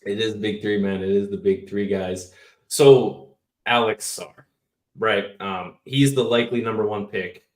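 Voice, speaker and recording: Hyped low-voiced man in an echoey room. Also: unhurried, distant mic. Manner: excitable, hype, leading the discussion. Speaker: podcast co-host. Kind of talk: casual sports podcast chat.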